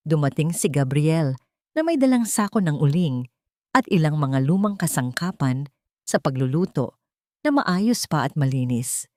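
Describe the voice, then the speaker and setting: A woman narrating a scripted dramatic story in a measured, solemn, expressive manner. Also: deep voice, no echo, clean studio sound.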